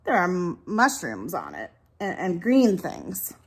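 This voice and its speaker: A woman's voice: fairly low pitch, wildly swooping pitch, a little slow, breathy edge, pitched below the performer's own voice, full character voice. A woman voicing a fussy, squeamish mom in a children's storybook, with a hesitant, exaggerated manner. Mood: dubious.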